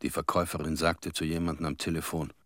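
An actor in a radio drama playing a grave, mournful Chernobyl witness with solemn, terse phrasing. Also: male voice, very deep voice, flat delivery, fast, fairly bright tone, no room sound, clean studio sound.

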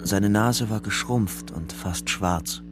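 A man's voice: lowish voice, slow, music in the background. An actor playing a guarded, tense young man on the run in a German radio crime drama, understated in delivery. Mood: sombre narration. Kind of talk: German radio crime drama, acting and first-person narration.

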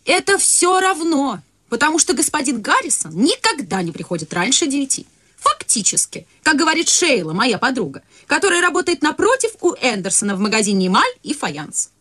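A woman's voice: high voice, very animated, brisk, fairly bright tone, no echo. A young woman actor playing a naive, nervously polite secretary in a radio comedy, rambling on in a prim, earnest way. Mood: earnest, chatty. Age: young adult. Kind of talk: radio play comedy acting.

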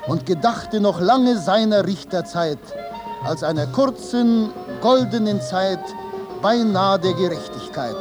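An actor playing a solemn storyteller, measured and declamatory, in 1950s German radio drama narration over music. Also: male voice, sits high. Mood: solemn, elegiac. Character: solemn male storyteller proclaiming a fable's moral.

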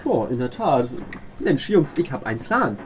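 A man's voice: mid-range voice, big pitch swings, moderate pace, slight background noise, close to an everyday voice. A young man playing a wordy, self-important detective leader in a tongue-in-cheek amateur radio play. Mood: planning.

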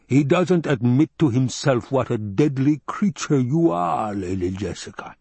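A man voicing an obese, sinister male baron with a rumbling basso voice, slow and taunting, in an audiobook. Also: lively intonation, gravelly, darkish tone, slightly rough, dry recording, exaggerated voice. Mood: gloating. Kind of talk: audiobook character voice.

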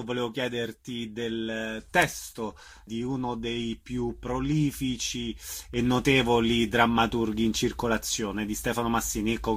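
A man's voice: mid-range voice, a bit bright. A man hosting a radio interview, giving prepared introductions and putting questions to a guest.

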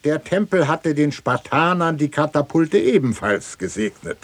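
An actor playing a pompous, greedy old priest in a classical comedy radio play, declaiming with grand rhetoric. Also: male voice, on the high side, animated, normal speed. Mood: sly, lecturing. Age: older.

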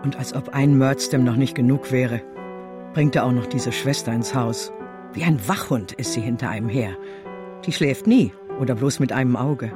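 A woman's voice: rumbling low, normal speed. An actress playing a devoted, plain-spoken servant woman in a German radio drama, homely, fretful and affectionate. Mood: bitter, indignant. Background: music.

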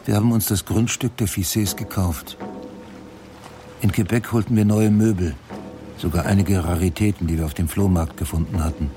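An actor playing a grieving widowed father of about forty in a radio drama monologue, speaking in terse, measured, brooding phrases. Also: sits low, fairly even intonation, close to an everyday voice. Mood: somber, reflective. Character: grieving widowed father looking back on a fresh start. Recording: music laid loudly under the voice. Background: music.

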